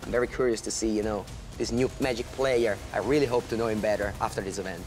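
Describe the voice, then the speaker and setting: Quick-speaking man from Italy. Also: fairly low pitch, fairly even intonation, darkish tone, soft background music. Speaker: pro card player. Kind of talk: pre-match interview.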